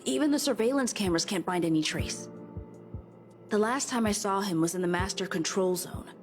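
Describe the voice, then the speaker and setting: A woman's voice: medium pitch, fairly quick, close to an everyday voice. Game role: serious, worried woman security officer played by an actress, businesslike delivery, music behind. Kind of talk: video game voice acting.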